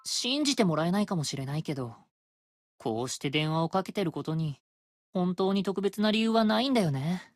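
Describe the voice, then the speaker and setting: High, lilting, vain male demon. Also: animated, racing, dry recording, clean studio sound. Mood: soft, coy. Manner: coy, playful, self-admiring. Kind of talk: Japanese game voice acting, intimate phone monologue.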